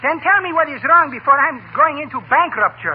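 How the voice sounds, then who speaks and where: An actor playing an excitable, good-natured candy shop owner with garbled grammar in a 1940s radio comedy. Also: male voice, very high voice, fairly quick. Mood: puzzled, friendly. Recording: noisy, tinny 1940s radio broadcast.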